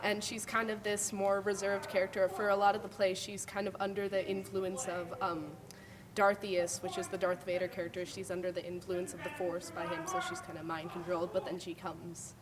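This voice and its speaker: A woman's voice: mid-range voice, level tone, fairly quick, a crowd in the background. Teenage girl, slightly off-mic. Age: teens. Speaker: student actor. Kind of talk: casual interview. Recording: distant mic, some background noise.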